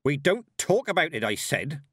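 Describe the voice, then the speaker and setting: Brief: a man as a bossy old witch woman, pitched up, loud and curt, dry close sound. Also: big pitch swings, no room sound. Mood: stern. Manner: brisk, bossy, no-nonsense. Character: stern old woman witch, sharp and commanding.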